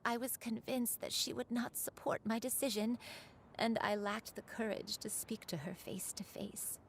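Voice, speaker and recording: An actress playing a gentle, remorseful old crane spirit in human form, telling a story in a measured, confessional way in a video game. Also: female voice, fairly high pitch, steady tone, a little hiss or hum, natural voice. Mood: ashamed. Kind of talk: scripted video game voice acting, storytelling monologue.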